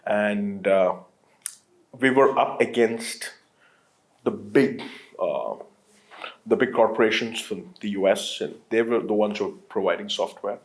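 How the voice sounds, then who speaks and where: A man's voice: lowish voice, measured pace, pauses often, rough recording. A man in his late thirties from Qatar, a guest on an interview show, talking casually with lots of fillers, restarts and easy laughs. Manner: rambling, many restarts and fillers, laughs easily. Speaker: entrepreneur guest.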